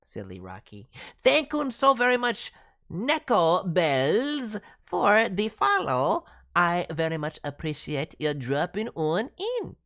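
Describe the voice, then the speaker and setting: Animated low-voiced woman coaching on stream. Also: slow pace. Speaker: streaming host and voice director reviewing demos. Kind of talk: casual livestream critique.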